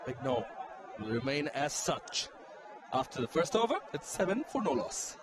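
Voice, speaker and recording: A man doing live cricket commentary, with his calls rising in excitement on big shots. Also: medium pitch, moderate pace. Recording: loud stadium noise behind.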